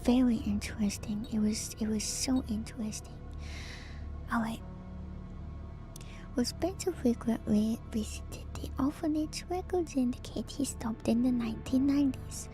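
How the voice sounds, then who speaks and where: A woman streamer doing a whispered ASMR reading with casual commentary, musing in a hushed, drawn-out way. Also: on the high side. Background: background music.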